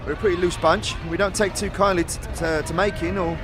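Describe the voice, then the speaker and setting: Man as a high-voiced friendly teenage apprentice smith. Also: fairly even intonation, a little fast, over music, lightly acted. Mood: friendly, chatty. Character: friendly teenage boy smith, easygoing, encouraging, street slang. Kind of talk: audio drama character acting.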